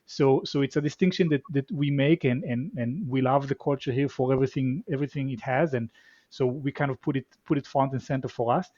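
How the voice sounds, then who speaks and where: A man's voice: mid-range voice, fairly quick, a little mellow, no echo, dull audio. A man with an Israeli accent, a guest in a casual interview who talks reflectively and often repeats his words. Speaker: venture capital guest.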